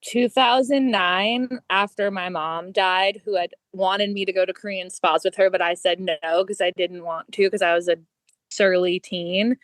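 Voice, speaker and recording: A woman's voice: medium pitch, fairly even intonation, a little slow, no echo. A woman in her thirties answering a panel question in a candid, wryly self-deprecating way. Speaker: panelist, sauna operator.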